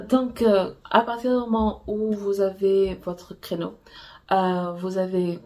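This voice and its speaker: A woman's voice: mid-range voice, dark tone. A woman whose first language is Portuguese, a romance author giving frank, direct writing advice in a casual way with frequent hesitations. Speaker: romance author coaching writers.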